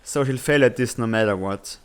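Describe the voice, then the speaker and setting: Man playing earnest, mid-pitched teenage student Al. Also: fairly quick, clear, resonant tone, lightly acted. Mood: reasoning, defensive. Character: earnest, responsible tech-savvy teenage student Al. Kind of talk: fan dub comic drama acting.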